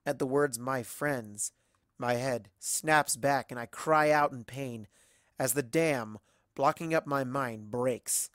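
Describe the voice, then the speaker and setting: A man's voice: medium pitch, slow, fairly bright tone, no echo. Man narrating a dramatic story, dry sound. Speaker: amateur audiobook narrator.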